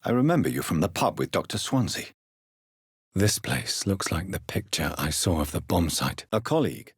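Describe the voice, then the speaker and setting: Gentleman vampire doctor, British accent, breathy, widely varied intonation, dry close sound, measured game dialogue. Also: low-pitched, sing-song, no room sound, heavily acted. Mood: tender, curious. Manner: formal, measured, polite questioning. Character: grave, courteous gentleman doctor turned vampire. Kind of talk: dramatic video game dialogue.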